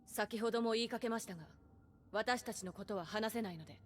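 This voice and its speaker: A woman's voice: a little high, brisk, natural voice. A woman voicing a dutiful, level-headed attendant, formal and deferential, in an anime game, over music. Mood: calm, concerned. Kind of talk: Japanese anime game voice acting. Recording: music behind the voice.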